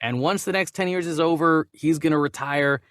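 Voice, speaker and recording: A man's voice: a little high, normal speed, a bit bright, no room sound, clean studio sound. A man hosting a discussion show, giving opinions casually and emphatically, often repeating phrases as he builds his point. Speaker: host of a movie news show.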